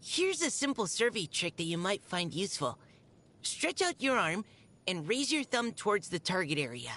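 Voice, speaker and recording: A young woman voicing a shy, gentle, earnest army scout in a video game, speaking politely and hesitantly. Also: mid-range voice, big pitch swings, moderate pace. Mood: earnest, focused. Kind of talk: video game character voice lines. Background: music.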